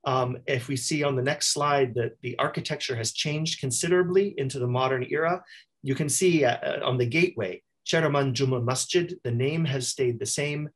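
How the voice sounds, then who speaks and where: A man's voice: mid-range voice, moderate pace. A man giving an explanatory academic slide presentation over a video call.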